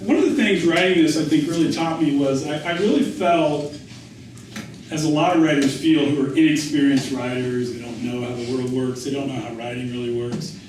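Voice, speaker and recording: A man's voice: mid-range voice, moderate pace, darkish tone. A middle-aged American man, an author and writing teacher, talking informally in a question-and-answer session, anecdotal and often doubling back on his phrases. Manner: conversational, anecdotal, often restarts and repeats phrases for emphasis. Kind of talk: informal spoken Q&A after a reading. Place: indoor reverberant event room. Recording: echoey room, distant mic, faint noise.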